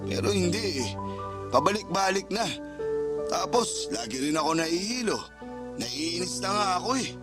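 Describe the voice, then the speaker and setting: A man's voice: sits high, lively intonation, moderate pace, pauses often. An actor playing a stubborn, ailing father in a Filipino radio drama, snappish and complaining with a Filipino accent. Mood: weary complaining. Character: stubborn, irritable ailing father working abroad. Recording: music playing underneath.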